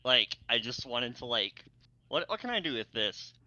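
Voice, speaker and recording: A man chatting casually and excitedly, rambling and stammering as he goes and often breaking into laughter. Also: medium pitch, normal speed, dry recording, lo-fi sound. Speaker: co-host of a fan fiction readalong. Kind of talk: casual enthusiastic group chat.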